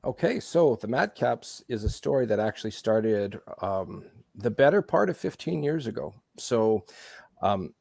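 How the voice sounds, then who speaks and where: A man from Canada with a gravelly edge that crackles at the bottom of his voice. His pitch moves around a lot as he chats, friendly and relaxed. The sound is dry and close. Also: a little slow, no room sound. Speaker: comic book creator. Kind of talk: casual interview talk.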